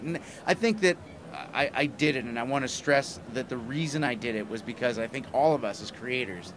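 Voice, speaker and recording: Middle aged guy, medium pitch, excited rambly interview chat, bit of noise behind. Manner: enthusiastic, rambling, emphatic. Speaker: comic writer, podcaster and interview guest. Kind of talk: casual convention interview. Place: small plywood booth at a convention. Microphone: handheld microphone held by interviewer. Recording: clear background noise at convention.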